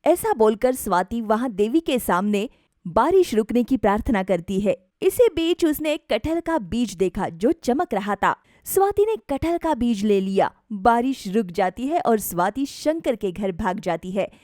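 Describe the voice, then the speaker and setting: A woman narrator reading a scripted animated moral story. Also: a little high, unhurried, no room sound, studio quality.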